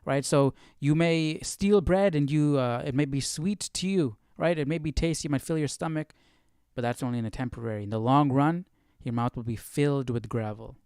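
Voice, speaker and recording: A man's voice: medium pitch, normal speed, a little mellow, smooth, dry recording. Casual male study host, clean dry sound. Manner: explanatory, often seeks agreement with tag questions. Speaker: Bible study livestream host. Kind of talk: casual live commentary on verses.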